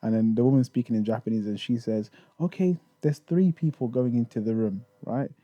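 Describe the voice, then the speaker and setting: A man's voice: mid-range voice, a little fast, dry recording, lo-fi sound. A man raised in the UK, talking casually as a podcast guest about touring in Japan, with many restarts and fillers. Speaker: guest, Japan tour organizer.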